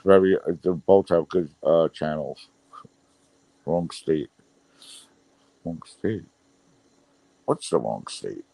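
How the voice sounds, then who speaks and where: A man's voice: deep voice, expressive, slow, gravelly, pauses often, mellow, no echo, rough recording. A middle-aged man with a Brooklyn New York accent, chatting casually on a livestream, reading the chat and rambling with frequent laughs. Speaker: livestream host.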